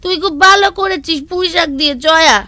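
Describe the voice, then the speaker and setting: Woman acting a high, bright village wife. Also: fairly quick, no echo. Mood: brisk, caring. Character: generous, homely poor farmer's wife. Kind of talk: Bengali children's cartoon voice acting.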